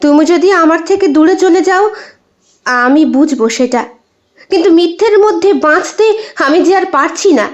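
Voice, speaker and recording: A woman's voice: extremely high pitch, expressive, moderate pace, muffled recording, voice pushed up. A woman voicing a guilt-ridden, pleading wife in an audio story, with a hesitant, tearful tremble. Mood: remorseful, pleading. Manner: hesitant, apologetic, earnest. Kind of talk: dramatized audio short story.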